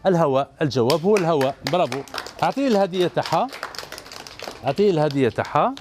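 Algerian guy in his 40s hosting a kids show, very lively sing-song voice, keeps cheering the kids on, handheld mic in a tv studio. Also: on the high side, rapid-fire, background noise. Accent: Algerian Arabic. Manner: gentle, encouraging, repeats praise over and over. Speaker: children's TV show host. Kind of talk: coaxing kids on stage. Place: indoor TV studio set.

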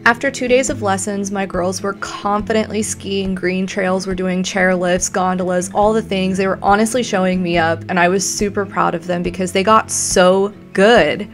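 A woman's voice: mid-range voice, fairly even intonation, moderate pace, few pauses, faint music behind. A woman in her forties narrating a family travel vlog in a scripted voice-over, upbeat and gushing with superlatives. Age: 40s.

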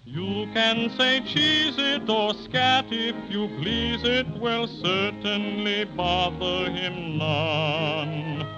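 A playful storybook dragon delivers a very slow patter song. Music plays along on a muffled 1930s children's radio recording. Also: female voice, mid-range voice, steady tone.

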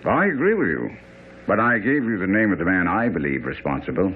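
A brisk, self-assured detective in a radio mystery. His voice sits a little low and moves expressively. The sound is thin, like an old broadcast. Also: very animated, husky, exaggerated voice. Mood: dry, assured. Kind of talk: radio drama acting. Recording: tinny radio broadcast with faint noise.

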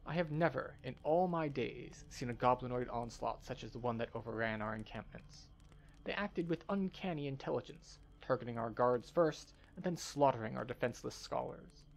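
A man voicing an earnest scholar from a fantasy game expedition, speaking in a formal, measured way. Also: mid-range voice, vocal fry, lightly acted, poor audio. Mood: grave, pleading.

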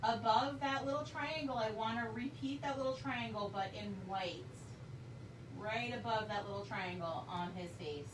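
A woman in her thirties leading a live painting class, giving upbeat step-by-step directions with little laughs and a slightly hoarse voice. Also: on the high side, moderate pace. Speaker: painting instructor. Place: indoor studio. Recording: distant, roomy, noisy room audio.

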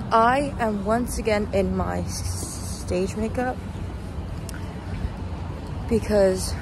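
Slow, halting young woman vlogging outdoors. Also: on the high side. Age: young adult. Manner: rambling, halting, trailing off. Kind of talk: casual walking vlog chat. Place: outdoors walking on a city street at night. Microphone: handheld phone at arm's length. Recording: noisy city street with passing traffic. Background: traffic and street ambience.

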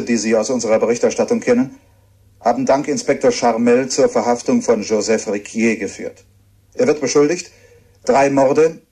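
An actor playing an earnest radio reporter giving a measured, factual news report within a radio drama. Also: male voice, mid-range voice, warm tone, breathy edge, natural voice.

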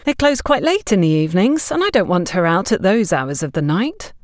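A woman's voice: medium pitch, very animated, brisk, no echo, studio quality, lightly acted. A woman voicing a courteous, guarded shopkeeper in an audio story, with a restrained manner. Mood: guarded, polite. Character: polite, guarded woman shopkeeper, a worried mother.

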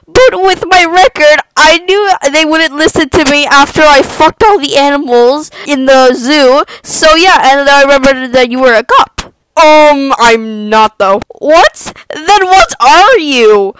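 High-pitched loud woman, noisy recording. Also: unhurried, hardly any pauses. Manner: loud, crude, sweary. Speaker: amateur fan voice-over artist. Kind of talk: improvised comic fan dub of a cartoon. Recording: noisy home recording with clicks.

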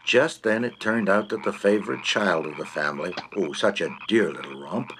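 A man's voice: deep voice, moderate pace, a bit bright, slight background noise. A man narrating a comic short story in a droll, theatrical, precise manner.

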